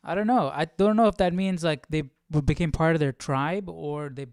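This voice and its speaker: A man's voice: on the high side, normal speed, darkish tone, clean tone, no room sound, clean studio sound. A man hosting a live Bible study, casually reading aloud and questioning what he reads as he thinks it through. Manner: questioning, musing to himself.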